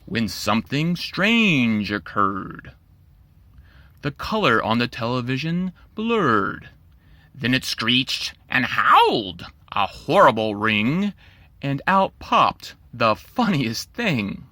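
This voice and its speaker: A man's voice: mid-range voice, big pitch swings, slow, bright, forceful tone, no echo. A man narrating a rhyming children's storybook in a theatrical, playful way.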